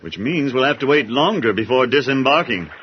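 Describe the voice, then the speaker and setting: A man playing a devout, calm patriarch in a biblical audio drama, speaking in a solemn, formal way. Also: mid-range voice, fairly quick, a little hiss or hum, natural voice. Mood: calm, assured. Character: devout, calm patriarch leading his family on the ark. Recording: dull lo-fi audio.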